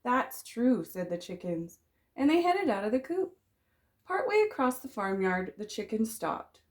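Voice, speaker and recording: A woman teacher reading a children's storybook aloud, dramatic and expressive, drawing out the rhyming words. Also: mid-range voice, moderate pace.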